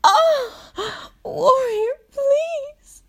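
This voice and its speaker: A woman playing a proud, distressed adult demigoddess in an audio roleplay, with halting, breathy exclamations. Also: very high voice, a bit bright, full character voice. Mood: distressed, pleading.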